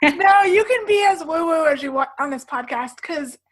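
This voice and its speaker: A woman hosting a casual podcast interview. Her voice is high and bright, and her tone is chatty. She sounds encouraging toward her guest. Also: animated, moderate pace. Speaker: podcast host.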